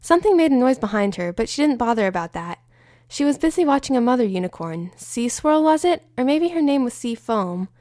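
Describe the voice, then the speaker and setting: A woman narrating a fanfiction story aloud in an expressive storytelling manner. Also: a little high, a little fast, dry recording, clean studio sound. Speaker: fanfiction reader. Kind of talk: audiobook-style story narration.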